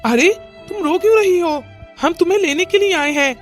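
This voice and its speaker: A woman's voice: extremely high pitch, normal speed. A woman voicing a loyal, assertive young husband in a melodramatic Hindi cartoon story. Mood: reassuring. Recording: music under the voice.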